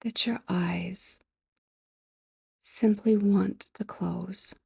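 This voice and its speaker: A woman leading guided sleep hypnosis in a soft, breathy, near-whispered voice, gentle and measured, with long pauses, close on the microphone. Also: medium pitch, steady tone, no room sound.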